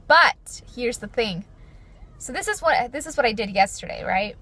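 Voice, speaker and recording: A woman in her twenties, talking earnestly and chattily to her viewers, with a fairly deep voice. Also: lively intonation, moderate pace, bright, forceful tone. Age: 20s. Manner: earnest, chatty, frequent "like" and "you know". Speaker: beauty vlogger. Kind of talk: personal reflective chat to camera.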